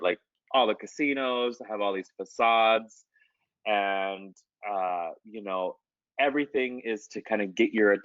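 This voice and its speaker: A man with a low voice gives loose, conversational interview answers full of fillers and restarts. The sound is muffled and dry, with no room around it. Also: no echo. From United States. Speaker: artist.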